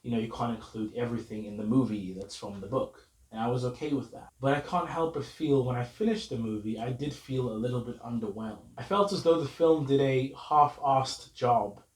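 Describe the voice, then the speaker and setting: A man's voice: mid-range voice, a little fast, few pauses. A young man, slightly ill, talking earnestly to camera about books and their film adaptations. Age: young adult. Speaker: vlogger. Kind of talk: casual to-camera book talk. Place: room at home with bookshelf. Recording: roomy echo, mic at a distance, faint music.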